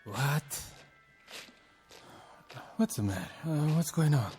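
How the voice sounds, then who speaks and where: Worn-out good-natured rebel soldier, slow talker, old tv drama, music behind. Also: male voice, fairly high pitch, animated, darkish tone. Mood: groggy, genial. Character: weary, good-humoured male rebel soldier. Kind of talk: mid-century television drama acting.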